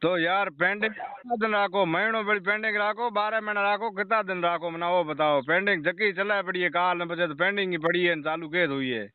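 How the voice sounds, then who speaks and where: Rajasthani guy on a muffled phone call, kinda high voice, fed up and going on and on about his complaint. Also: a little fast, smooth, no room sound. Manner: exasperated, insistent, repetitive complaining. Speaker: customer phoning in a power-cut complaint. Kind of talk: phone complaint in colloquial dialect. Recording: muffled, band-limited phone call recording.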